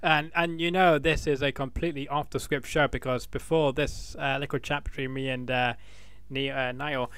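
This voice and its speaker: A man's voice: mid-range voice, fairly even intonation, moderate pace, fairly bright tone, clean tone, no room sound. A man hosting a casual interview, chatty, with stumbling restarts and frequent laughs. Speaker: podcast host.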